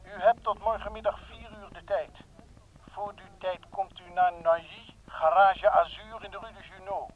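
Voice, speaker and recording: High-pitched menacing kidnapper on telephone. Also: male voice, fairly even intonation, moderate pace. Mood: cold menace. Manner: curt, menacing, commanding. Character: cold, threatening male kidnapper on the telephone. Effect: telephone filter. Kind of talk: Dutch radio play acting. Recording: muffled telephone line.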